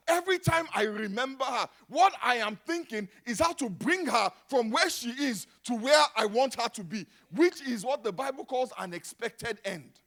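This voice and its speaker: A middle-aged Nigerian man preaching a sermon, dropping to a hush and then rising to loud exclamations. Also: very high-pitched. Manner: dramatic, swings from hushed to shouting. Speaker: pastor.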